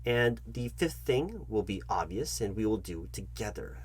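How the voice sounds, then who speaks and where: Guy doing a silly interviewer roleplay, lowish husky voice, super animated pitch, keeps repeating himself. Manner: fussy, halting, repeats himself, addresses listener directly. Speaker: ASMR channel host acting as job interviewer. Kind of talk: comedic interviewer roleplay monologue.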